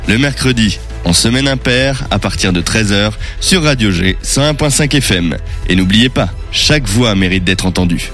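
A man presenting a radio show, reading a scripted introduction in a measured, friendly announcer manner before interviewing a guest. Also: fairly low pitch, expressive, music in the background. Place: radio studio.

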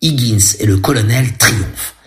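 A man's voice: lowish voice, moderate pace, a bit bright. A man giving an audio description of a film, chatty and ironic, with asides to his listeners.

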